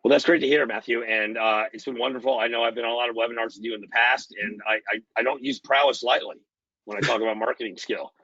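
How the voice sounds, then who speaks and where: A man hosting a webinar and interviewing a guest in a measured way. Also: medium pitch, steady tone, sharp tone, no echo, dull audio. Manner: measured, introduces and thanks guests. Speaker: channel chief, webinar host.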